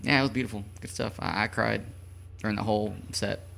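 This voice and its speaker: A man's voice: on the low side, steady tone, fairly quick, creaky, a bit bright. Crude, joking man hosting a podcast. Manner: crude joking banter, loose rambling delivery. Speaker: podcast host. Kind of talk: casual radio podcast chat.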